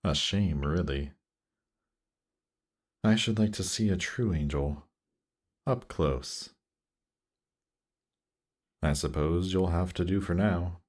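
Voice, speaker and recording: Man playing a very deep, suave demon prince. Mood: musing, intimate. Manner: teasing, coaxing, unhurried, measured. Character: suave, patient, seductive male demon prince of Hell. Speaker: voice actor. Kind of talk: slow, intimate roleplay audio drama performance.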